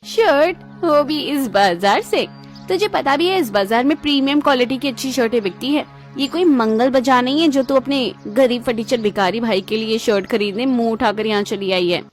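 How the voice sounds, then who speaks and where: A woman's voice: fairly high pitch, normal speed, faint music behind, natural voice. A woman voicing a spoiled, boastful rich young woman in a cartoon, taunting in a showy, exaggerated way. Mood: sneering. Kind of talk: cartoon moral-story dialogue in Hindi.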